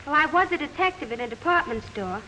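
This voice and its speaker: Eager young bride-to-be in a 1940s radio mystery. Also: female voice, sits high, animated, fast talker, sharp tone. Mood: sweet. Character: young woman store detective eloping. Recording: old radio recording with reverb and faint hiss.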